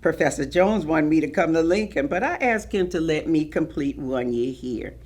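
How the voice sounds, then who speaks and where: A woman's voice: low-pitched, animated, normal speed, darkish tone. A woman playing a dignified retired schoolteacher grandmother in a staged reading, recounting her career in a composed, precise way. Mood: dignified, proud. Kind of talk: dramatic staged reading of oral history.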